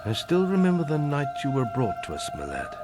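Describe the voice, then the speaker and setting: An actor playing a grave older guild master and disappointed mentor, solemn and earnest in a dramatic video game style. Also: male voice, on the high side, wildly swooping pitch, a little fast, over loud music. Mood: sorrowful, resolute. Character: grave old male guildmaster, disappointed mentor pleading for peace.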